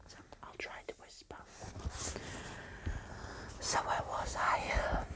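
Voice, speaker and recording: A woman reading a storybook for ASMR in a soft, hushed, soothing bedtime manner. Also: slow. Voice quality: soft, breathy.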